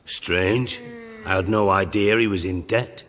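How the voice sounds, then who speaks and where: A shrewd British underworld boss from a fantasy game. His voice is bright and forceful on a lowish pitch, articulate and dripping with sarcastic courtesy. It rings in a reverberant space with music underneath. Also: fairly even intonation, a little slow. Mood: sardonic. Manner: mockingly polite, wry, articulate, profane. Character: cynical, shrewd, sarcastic male underworld spymaster, middle-aged. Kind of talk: video game voice acting.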